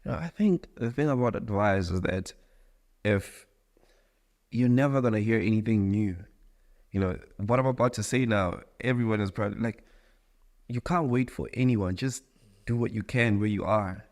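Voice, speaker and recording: Deep-voiced relaxed musician guest. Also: male voice, normal speed. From South Africa. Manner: relaxed, informal, uses slang. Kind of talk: casual interview chat.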